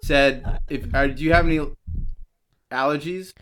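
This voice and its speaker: A man performing an improv comedy scene with a dry, deadpan delivery. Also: a little high, a little slow, clear, resonant tone.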